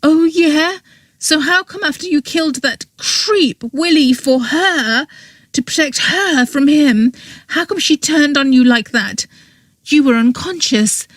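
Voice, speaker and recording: A woman's voice: extremely high pitch, big pitch swings, takes it slowly, no echo, voice pushed up, full character voice. A woman voicing a furious, tearful young woman accused of murder, shrieking and bitter. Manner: shrieking, bitter, near tears.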